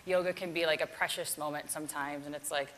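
A woman's voice: low-pitched, fairly even intonation, fast, fairly bright tone, a little hiss or hum. A woman yoga teacher giving a casual keynote talk with a live demonstration, chatty and self-deprecating.

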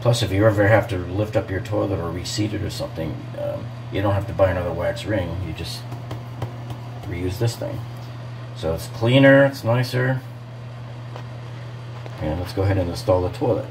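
A man's voice: low voice, moderate pace, creaky, long pauses, background noise. A man narrating a hands-on bathroom repair how-to, explaining each step in a practical way with casual fillers. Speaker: DIY remodeling host. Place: home bathroom, indoors.

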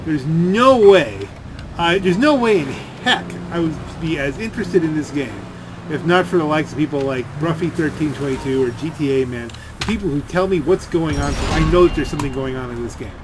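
A man's voice: on the high side, expressive, fairly quick. A man rambling through off-the-cuff gameplay commentary in a grumbling, sardonic way. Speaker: gaming video creator. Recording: clear game vehicle and gunfire noise. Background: video game driving and shooting sounds.

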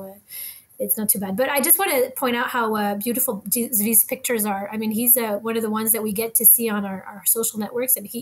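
Soft-spoken middle-aged woman from montreal on a headset, husky tone, lots of uhs. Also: mid-range voice. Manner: warm, soft, many hesitations. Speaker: guest, bike activist. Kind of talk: casual podcast chat. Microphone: headset mic on video call.

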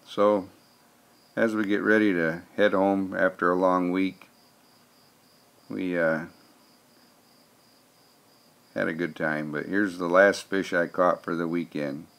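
A man's voice: low-pitched, fairly even intonation, unhurried, gravelly, fairly bright tone. A man, narrating casually in a plainspoken, folksy way. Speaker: vlogger narrating fishing trip.

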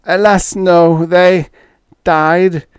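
A man's voice: sits high, fairly even intonation, very slow, clear, resonant tone, no echo, studio quality, pitched above the performer's own voice. A man voicing a frail, evasive alien official in an audiobook, with a weak, trembling voice and formal, protesting diplomatic speech. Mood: weakly distressed. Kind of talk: audiobook character dialogue.

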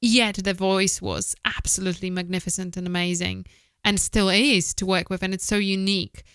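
A woman's voice: medium pitch, level tone, normal speed, a bit bright, clear, resonant tone, no echo. A woman in her thirties giving informal, candid advice in a conversational, opinionated way, speaking close into a studio microphone. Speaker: voice-over producer giving advice. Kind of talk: informal advice to camera, podcast style. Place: small indoor office. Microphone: close studio microphone with foam windscreen, headphones.

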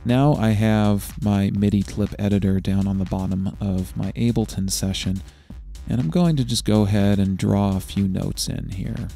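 A man narrating a step-by-step software tutorial in a methodical, explanatory way. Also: on the low side, steady tone, moderate pace, vocal fry, soft background music.

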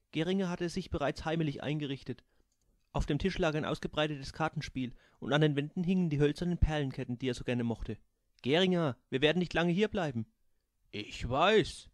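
A man narrates his own humorous novel in a raised, lively voice, bringing a dry wit to the reading. The sound is dry and close, with no room around him. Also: fairly high pitch, brisk, no echo. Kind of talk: audiobook narration with voiced characters.

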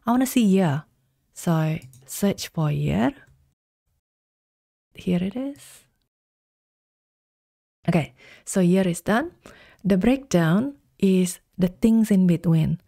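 Slow, mellow woman explaining software. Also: lowish voice, creaky, dry recording, studio quality. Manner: calm step-by-step instructions, slow deliberate pacing. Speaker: software tutorial presenter. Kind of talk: screen-recorded software tutorial.

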